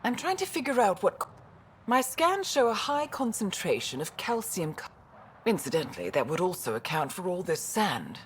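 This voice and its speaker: A stranded scientist lady in a game, lowish voice, super expressive, wry and fed up, faint hum behind. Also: moderate pace. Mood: brisk, wry. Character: stranded female scientist, wry, talkative, exasperated. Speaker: video game character. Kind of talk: game dialogue acting.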